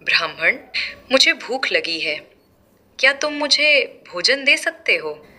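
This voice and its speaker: A woman voicing a benevolent wandering holy man in a storybook tale, calm, measured and instructive. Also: on the high side, full character voice. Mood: gentle request.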